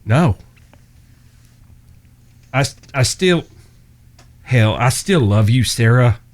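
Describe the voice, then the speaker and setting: A man playing an earnest, gentle, lovestruck Old West fellow in an audio drama, hesitant and folksy in his talk. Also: mid-range voice, expressive, slow. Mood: earnest, uncertain.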